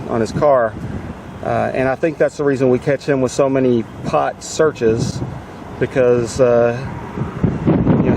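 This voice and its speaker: A man of average pitch comments casually, piecing his thoughts together as he talks. Wind and cars are clearly audible outdoors around him. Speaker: video host, commentator. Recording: outdoor wind and car noise.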